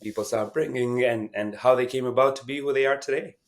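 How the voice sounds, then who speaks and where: A man's voice: mid-range voice, steady tone, brisk. A man hosting a podcast interview, friendly and a bit hesitant, with many fillers. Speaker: podcast host.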